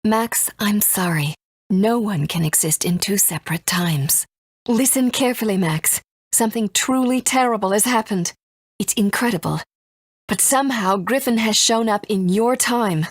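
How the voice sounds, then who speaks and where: A woman voicing a gentle, earnest mother and resistance fighter in a video game, soft and breathy, speaking in a measured, tender way. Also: medium pitch, no echo, clean studio sound, lightly acted. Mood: pleading, sad. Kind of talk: English video game voice acting.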